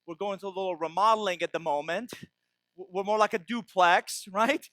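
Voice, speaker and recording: A man's voice: high-pitched, fairly quick, sharp tone, dry recording. A man from Iran giving a business keynote with jokes, often asking the audience "right?". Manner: tags lines with "right?" to the audience. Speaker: keynote speaker.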